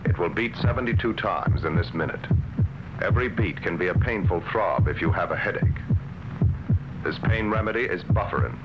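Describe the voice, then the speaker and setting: A man's voice: sits low, expressive, moderate pace, very noisy. An American man reading serious scripted narration for a vintage medicine commercial, on an old television recording with a throbbing backing. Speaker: commercial announcer. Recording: dull old TV advert, loud backing.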